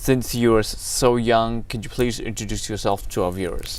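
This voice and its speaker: Fast-talking male studio host. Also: fairly low pitch. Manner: upbeat, with frequent mm-hmms. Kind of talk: talk show hosting.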